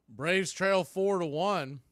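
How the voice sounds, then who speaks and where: A man's voice: sits high, fairly bright tone, dry recording, clean studio sound. A man doing live radio play-by-play of a baseball game as the announcer, in short clipped phrases. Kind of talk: live radio sports commentary.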